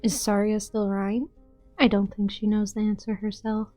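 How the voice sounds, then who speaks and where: Woman acting a poised, mellow-voiced schemer. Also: mid-range voice, flat delivery, moderate pace, soft background music, natural voice. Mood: cool, musing. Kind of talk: amateur fan-dub game acting.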